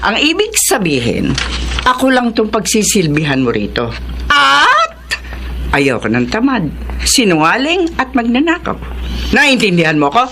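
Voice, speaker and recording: Bossy, sharp-tongued Filipina matron. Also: female voice, a little high, wildly swooping pitch, measured pace, a little hiss or hum, full character voice. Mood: stern, commanding. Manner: bossy, scolding, sarcastic. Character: domineering, sharp-tongued, stingy older sister and mistress of the house. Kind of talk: Tagalog radio drama acting.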